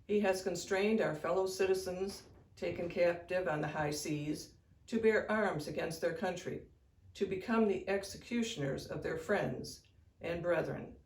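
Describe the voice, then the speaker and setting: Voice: mid-range voice, unhurried, vocal fry. A person giving a formal public reading of a historic document. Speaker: public reader at a commemorative event. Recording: roomy echo.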